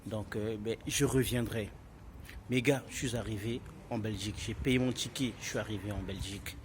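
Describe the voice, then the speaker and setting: A man's voice: fairly low pitch, fairly even intonation, moderate pace. A man with a Congolese French accent casually tells his personal story in an emphatic, repetitive way, addressing listeners as buddies. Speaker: vlogger telling his own story. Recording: clearly noisy ambient background.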